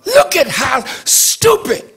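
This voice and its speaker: Older male preacher, high voice, very lively pitch, slow deliberate delivery, bright tone. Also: gravelly. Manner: preaching with rising shouts and acted mocking voices. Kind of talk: sermon.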